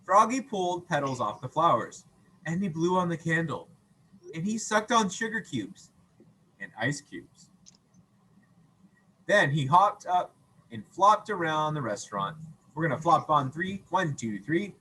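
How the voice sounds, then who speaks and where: High, animated man reading to children. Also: unhurried, pauses often, a little hiss or hum, rough recording. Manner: playful, expressive, acts out sound effects. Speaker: read-aloud teacher.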